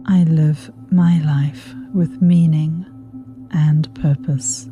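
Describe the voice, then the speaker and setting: Slow, low-pitched woman guiding meditation. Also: steady tone. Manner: soothing, unhurried, spaced-out phrases with repetition. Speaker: meditation guide. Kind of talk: guided meditation with spoken affirmations. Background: meditation music with singing bowl.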